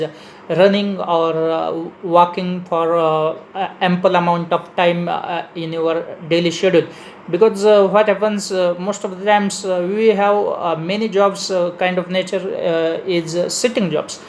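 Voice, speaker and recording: Halting Indian-accented speaker with noisy background. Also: mid-range voice. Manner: halting, hesitant delivery with frequent pauses. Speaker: speaker giving a motivational talk. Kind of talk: informal lecture on personal development.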